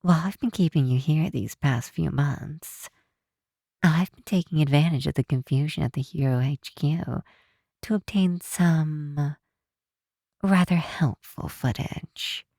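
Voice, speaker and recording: Woman acting a low, breathy supervillainess. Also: no echo, clean studio sound, heavily acted. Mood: sly, explanatory. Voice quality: breathy, hushed. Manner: unhurried, teasing, theatrical. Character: possessive female supervillain, softly menacing, tender toward captive. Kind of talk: intimate ASMR roleplay acting.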